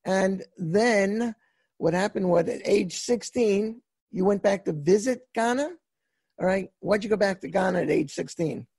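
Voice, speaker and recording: A man with a high, gravelly voice interviews a guest on a podcast. His voice is breathy and a little husky, and it sounds dry and close, with no room around it. He asks chatty questions and often stops and restarts mid-sentence. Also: darkish tone, no echo. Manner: folksy, halting questions with many restarts. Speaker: podcast host.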